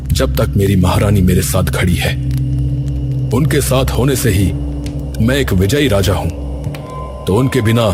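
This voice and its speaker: A man's voice: fairly low pitch, natural voice. Guy playing a loyal king in a cartoon, earnest, formal, determined, music going behind him. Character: devoted, resolute exiled king returning unrecognised to his court.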